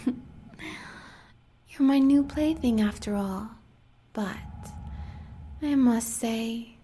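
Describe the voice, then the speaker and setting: A woman's voice: on the high side, extremely slow, pauses often, mellow, a little hiss or hum. An American woman playing a sadistic, seductive huntress in an audio roleplay, taunting the listener in sultry half-whispers with cruel pet names. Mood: sadistic delight. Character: sadistic seductive werewolf huntress, villain's lover.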